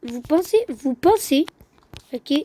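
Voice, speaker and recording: Woman, very high voice, excitable, slangy, repetitive, casual game commentary. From Quebec, Canada. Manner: excitable, repeats phrases rapidly, slangy. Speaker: gaming video host. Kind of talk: casual gaming commentary with banter.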